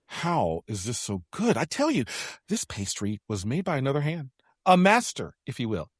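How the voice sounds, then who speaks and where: A woman playing a theatrical, excitable, food-loving adventurer with a flowery, melodramatic way of talking in a sci-fi audio drama. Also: a bass voice, normal speed, slightly rough, no echo, studio quality, full character voice. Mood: awed, theatrical. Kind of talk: science fiction audio comedy drama.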